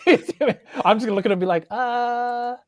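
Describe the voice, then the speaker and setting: A woman's voice: a little high, moderate pace, no room sound, clean studio sound. A woman improvising casually as a tabletop game player, in a hesitant, rambling way. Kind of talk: casual in-character improvised chat.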